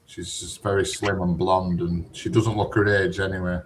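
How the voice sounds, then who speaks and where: Deep-voiced chatty man. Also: flat delivery, moderate pace, a little hiss or hum. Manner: rambling, chatty, dialect-heavy, cheeky. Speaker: conversation partner. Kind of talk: casual chat.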